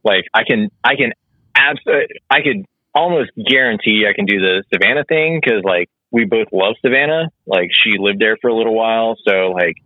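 A man in his mid thirties, a guest in a casual chat, easygoing and halting, full of fillers. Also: on the low side, dry recording. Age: 30s. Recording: tinny phone-like call line.

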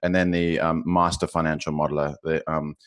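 A man with a South African accent speaks in a very low, deep register. He is a finance professional in his forties, guesting in an interview. He talks thoughtfully, hesitating often and restarting his phrases. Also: little pitch movement, brisk. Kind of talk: podcast interview.

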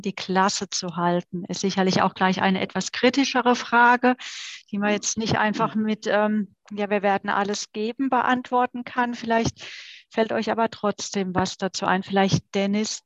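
A woman's voice: mid-range voice, no echo, lo-fi sound. A woman co-moderating a virtual fan talk, passing on audience questions in a matter-of-fact way. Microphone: video call.

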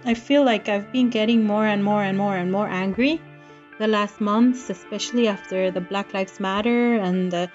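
A woman's voice: medium pitch, normal speed, dull audio, faint music behind. A middle-aged woman from Costa Rica, a curator, speaking passionately and frankly in an interview. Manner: passionate, outspoken. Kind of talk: interview talk.

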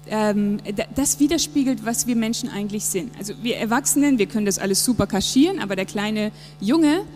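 A woman's voice: a little high, darkish tone. A woman in her thirties, from Japan, speaking reflectively and earnestly in a church service interview, with some fillers. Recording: long reverb with faint music behind.

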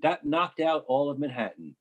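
Brief: man reading a calm storm observer, breathy tone. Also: a little high, moderate pace. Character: man watching the blackout from a deck.

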